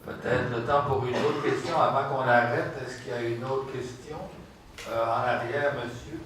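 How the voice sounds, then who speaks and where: A man's voice: medium pitch, fairly even intonation, normal speed, fairly bright tone. A man moderating a question session, briefly calling on the next person to ask. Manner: brief, orderly, calling on askers. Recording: echoey hall, distant, noise.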